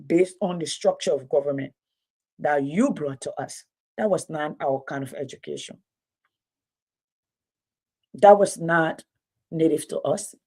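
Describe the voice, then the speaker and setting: A woman's voice: deep voice, measured pace, long pauses, warm tone, husky. A Liberian woman, a native Mano and Gio speaker with a Liberian accent, giving blunt, emphatic unscripted political commentary as an online host and storyteller. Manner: blunt, emphatic, repeating phrases for effect.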